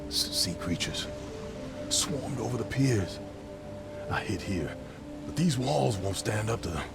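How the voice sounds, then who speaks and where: Panicked trapped guy, stammery and pleading, gravelly, far-off, music behind. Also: fairly low pitch, lively intonation, unhurried. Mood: terrified. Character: panicked male survivor trapped by monsters. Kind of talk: video game cutscene acting.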